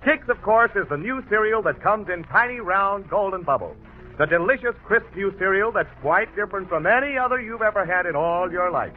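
A man's voice: sits high, expressive, fairly quick, faint music behind. A man announcing in 1940s radio style, reading a sponsor's message with emphatic, promotional delivery. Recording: old 1940 radio broadcast, tinny narrow band.

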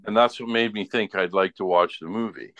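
A man's voice: fairly low pitch, fairly quick, a bit bright, dry recording, dull audio. A man talking casually and informally in conversation. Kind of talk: casual chat.

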